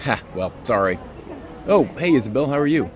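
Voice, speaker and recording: A man's voice: mid-range voice, very animated, a little fast, lightly acted. A man playing a friendly, apologetic middle-aged dad in an audio drama, chatty in manner.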